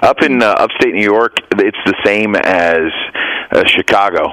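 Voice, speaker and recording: A man's voice: fairly low pitch, a little slow, a bit bright, dry recording, rough recording. A man from Iowa hosting a morning radio show, chatting casually and mimicking regional voices for laughs.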